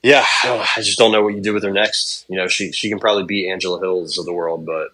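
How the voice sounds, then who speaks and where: A man's voice: sits low, steady tone, fast talker, pushed and bright, slight background noise. A young man co-hosting a sports podcast, talking in a laid-back, rambling way and swearing casually. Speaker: podcast co-host.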